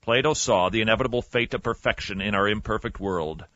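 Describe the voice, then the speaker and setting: Male radio storyteller, lively and sharp, emphatic with dramatic pauses; dry, slightly muffled podcast sound. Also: lowish voice, no room sound. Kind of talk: animated storytelling with reading and commentary.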